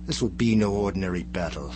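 A man's voice: deep voice, fast, lightly acted. A man playing a scheming, wrathful fox demon lord in a live radio drama, with grandiose, menacing pronouncements. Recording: loud hum and noise.

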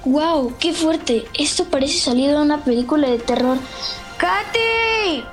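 A woman's voice: high voice, expressive, a little slow, faint music behind. A woman playing an urgent, panicked thirteen-year-old boy in an audio drama, calling out in exclamations. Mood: shocked. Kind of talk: Spanish-language audio drama acting.

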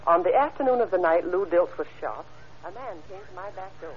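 A woman's voice: fairly low pitch, lively intonation, fairly quick, close to an everyday voice. An actress playing an observant, obliging woman giving careful witness testimony in an old-time radio crime drama. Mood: helpful, careful. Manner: careful, matter-of-fact, helpful. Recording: muffled old radio recording, background hiss.

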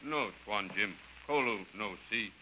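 Casting: loyal native guide, lowish forceful voice, halting broken English, deferential, vintage radio serial with faint noise. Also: male voice, poor audio. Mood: earnest, mysterious. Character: Kolu, loyal native servant and guide, man. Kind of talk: 1930s radio serial acting.

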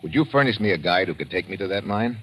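An actor playing a tough, breezy investigator in a radio adventure, with an American accent and a casual, slangy way of talking. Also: male voice, sits low, expressive, quick pace, fairly bright tone. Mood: inquisitive, brisk. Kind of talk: old-time radio adventure drama acting. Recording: noisy vintage radio broadcast recording.

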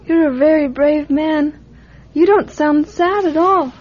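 A trusting little girl of nine in a radio play, with a tiny, very high voice. She is earnest, curious and childlike, heard on a slightly muffled recording. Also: a little slow, a little mellow. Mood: curious, gentle. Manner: earnest, questioning, simple childlike phrasing. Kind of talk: radio drama acting.

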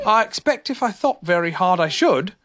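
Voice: mid-range voice, lively intonation, normal speed, a bit bright, voice pushed up, close to an everyday voice. A parent reading a bedtime story aloud, voicing a kind, sensible young boy in a raised voice. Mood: kindly.

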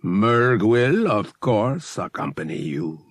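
Man playing a slow, imperious alien high priest. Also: big pitch swings, husky, no echo, heavily acted. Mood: brisk authority. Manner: formal, deliberate, drawn-out phrasing. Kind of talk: audiobook character voice.

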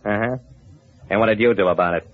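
An actor playing a shrewd, hard-boiled investigator in an old-time radio drama, wry, clipped and confident. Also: male voice, fairly low pitch, fast talker, dry recording, lightly acted. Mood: probing, firm. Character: shrewd, hard-boiled male investigator telling his case. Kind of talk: old-time radio detective narration and acting. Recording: muffled vintage radio recording.

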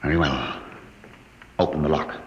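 A man's voice: rumbling low, steady tone, normal speed. An actor playing a terse, wary male spaceship captain who gives clipped orders in an old-time radio drama. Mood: commanding, alert. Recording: noisy vintage radio recording.

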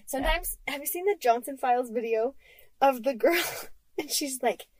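A woman in her thirties with a cute, bubbly voice, co-hosting a casual podcast from a parked minivan, animated and playful. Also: high voice, normal speed, no room sound. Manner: animated, acts out quoted voices. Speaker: podcast co-host. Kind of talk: casual chat.

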